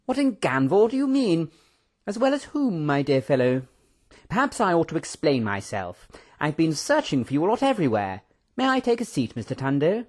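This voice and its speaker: A man voicing an excitable, pompous gentleman professor from a storybook, effusive and exclamatory, with old-fashioned genteel phrasing. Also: fairly high pitch, a little fast, voice pushed up. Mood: excited, delighted.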